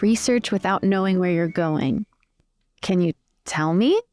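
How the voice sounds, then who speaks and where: A woman's voice: lowish voice, a little slow, darkish tone, close to an everyday voice. A woman playing a curious, chatty young well-bred woman, talking informally and asking questions in improvised roleplay over music. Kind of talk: improvised in-character roleplay dialogue.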